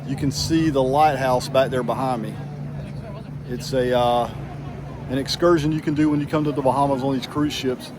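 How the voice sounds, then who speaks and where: A man's voice: mid-range voice, fairly even intonation, moderate pace, noisy background. A man narrating a casual travel vlog outdoors in a laid-back, folksy manner. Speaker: travel vlogger. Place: outdoors on walkways, boat and island. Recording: outdoors with music and crowd behind.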